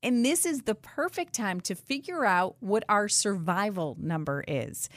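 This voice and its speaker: A woman hosting a talk show who introduces guests in an upbeat, brisk way. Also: mid-range voice, expressive, no echo. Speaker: host.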